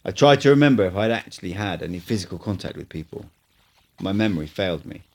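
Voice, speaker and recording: A man narrating a first-person audiobook as an amateur reader, with steady, earnest delivery. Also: low voice, quick pace.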